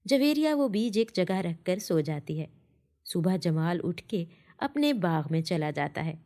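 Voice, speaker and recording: A woman's voice: fairly low pitch, very animated, a little slow, dark tone. A woman narrating a scripted children's story as a storyteller.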